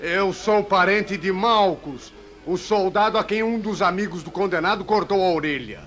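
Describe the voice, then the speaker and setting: A man's voice: sits high, normal speed, a bit bright. An actor playing a suspicious, jeering man of the mob, brash and accusing, in a radio drama.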